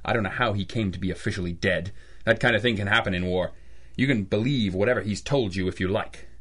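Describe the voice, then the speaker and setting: An actor playing a stern, cynical private detective in an old-style radio drama, with clipped, wry, accusing delivery. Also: male voice, lowish voice, fast talker, fairly bright tone, breathy edge. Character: hard-boiled, cynical adult male private detective, stern and relentless.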